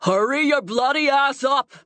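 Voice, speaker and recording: A woman voicing a gruff, bullying male officer with coarse, mocking commands in an audiobook. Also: on the high side, lively intonation, unhurried, a bit bright, no room sound, voice pushed up. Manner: coarse, barking, colloquial commands. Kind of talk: audiobook character voice.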